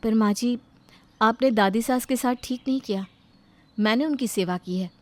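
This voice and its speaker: Woman doing pushy young bahu in a story, highish voice, demanding. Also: moderate pace, lightly acted. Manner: protesting, demanding. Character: insistent, scheming young daughter-in-law.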